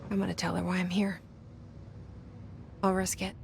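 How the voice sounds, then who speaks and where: An actress playing a guarded young woman who leads a secret agency, speaking her inner thoughts quietly and introspectively. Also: fairly low pitch, level tone, normal speed, vocal fry, noisy background. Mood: wary. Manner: quiet, introspective, half-whispered. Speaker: game protagonist. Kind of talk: inner monologue in game cutscenes. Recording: game score under voice.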